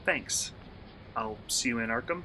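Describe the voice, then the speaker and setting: An actor playing an informal, breezy young traveler in a radio play. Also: male voice, mid-range voice, lively intonation, unhurried, very noisy, natural voice. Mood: polite, reserved. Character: casual, upbeat young man traveler, travel vlogger.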